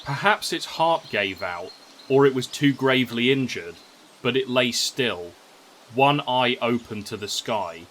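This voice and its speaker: Male narrator, scripted fantasy story, expressive and dramatic, medium pitch, noticeable noise on the recording. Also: measured pace, poor audio.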